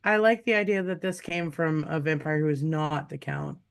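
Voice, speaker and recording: Quick-witted, laughing speaker. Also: mid-range voice, creaky, clear, resonant tone. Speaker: player. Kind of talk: casual chat.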